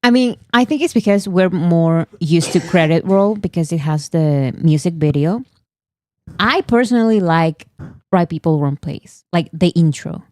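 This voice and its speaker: A woman's voice: fairly low pitch, a little slow, darkish tone, no room sound. A woman hosting a casual chat, teasing her co-host and laughing as she pushes ahead.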